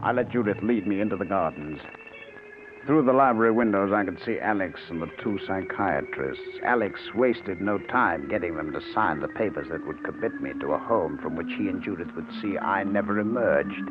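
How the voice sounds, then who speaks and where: A coldly scheming husband in an old radio mystery. His deep voice swings widely in pitch, sardonic and menacing, through a thin, noisy vintage recording. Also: animated, moderate pace, phone-quality sound, over music. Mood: triumphant narration. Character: scheming, vengeful husband feigning madness, coldly triumphant. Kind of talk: old-time radio mystery drama acting.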